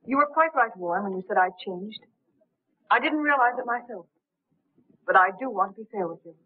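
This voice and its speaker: An earnest young lady in a 1930s crime drama. Her voice is of medium pitch and a little husky, carried on a narrow, tinny old film track. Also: lively intonation, brisk. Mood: regretful. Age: young adult. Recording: thin, muffled 1930s film soundtrack.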